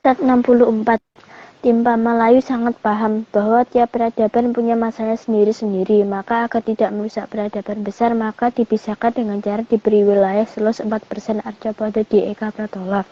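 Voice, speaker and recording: A woman reads slide text in a level, monotone voice that sits fairly high. Her delivery is scripted and steady, with little rise or fall. The audio is muffled and lo-fi. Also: a little fast. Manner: flat, even recitation. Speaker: slide reader. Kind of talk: scripted reading.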